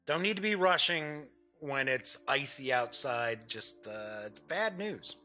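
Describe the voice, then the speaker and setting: A man's voice: mid-range voice, expressive, takes it slowly, bright, forceful tone, soft background music. A man hosting an online gaming show, talking casually to viewers in a folksy, chatty, rambling way.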